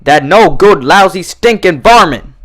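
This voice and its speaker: Man doing a feisty country farm mare, animated drawl. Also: high-pitched, a little slow, voice pushed up, heavily acted. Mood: angry, exasperated. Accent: American Southern. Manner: folksy drawl, emphatic. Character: feisty young female country farm pony, plain-spoken, stubborn. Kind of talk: cartoon fan fiction character reading.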